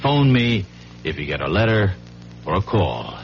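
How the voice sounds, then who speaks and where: An actor playing a brisk, folksy, shrewd police chief in an old radio drama. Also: male voice, low-pitched, big pitch swings, faint music behind, exaggerated voice. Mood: wry, probing. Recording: muffled old radio, loud storm noise.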